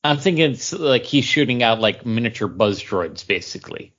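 A man's voice: medium pitch, animated, moderate pace, a bit bright, highs cut off. A man co-hosting a podcast, recapping and discussing casually, often searching for his words. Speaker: comics podcast co-host.

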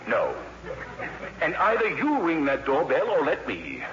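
A man's voice: fairly high pitch, wildly swooping pitch, a little slow, a bit bright, exaggerated voice. A man playing a pompous, irritable, well-off father in a 1950s radio drama, with an indignant manner. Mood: irritated. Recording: muffled, noisy old 1950s radio recording.

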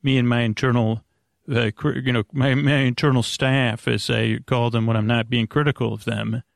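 A man with a creaky voice, hosting a bedtime podcast in a halting, rambling monologue that keeps backtracking. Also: medium pitch, level tone, no echo, studio quality. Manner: halting, meandering, repeats and backtracks. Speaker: podcast host.

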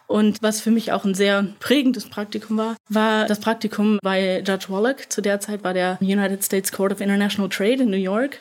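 Interview guest: young woman, relaxed and chatty, full of fillers and quick laughs. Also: mid-range voice. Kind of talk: casual conversational interview.

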